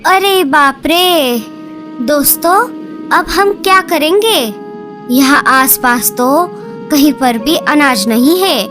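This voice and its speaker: A woman voicing cartoon birds, speaking in a slow, sing-song storybook way. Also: sits high, music in the background. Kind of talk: cartoon dialogue acting.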